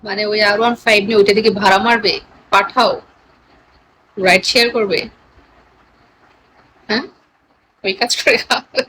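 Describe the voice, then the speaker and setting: A woman's voice: mid-range voice, steady tone, moderate pace, long pauses, sharp tone, slight background noise, poor audio. A woman with a Bangladeshi accent chatting casually with live stream viewers in a playful, teasing manner.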